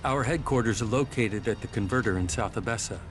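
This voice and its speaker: Low-voiced, wary rebel soldier, acted by a man. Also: lightly acted. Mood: guarded, matter-of-fact. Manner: measured, formal, distrustful. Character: wary rebel soldiers of a cold, proud army. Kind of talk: video game cutscene acting. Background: music.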